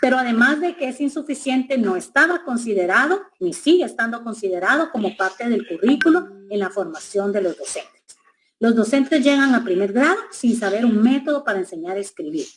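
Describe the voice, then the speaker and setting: A middle-aged woman with a Guatemalan Spanish accent gives an educational presentation in a measured, reflective way, with frequent hesitations. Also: fairly high pitch, expressive, a little hiss or hum, low-quality recording. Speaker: education specialist speaker.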